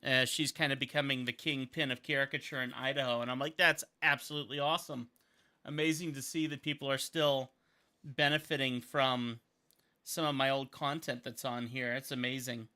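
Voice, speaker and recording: A man's voice: mid-range voice, steady tone, normal speed, bright, forceful tone. A man in his thirties from Montana, chatting casually and upbeat while he draws on a livestream, rambling and thinking aloud. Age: 30s. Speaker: caricature artist and videographer.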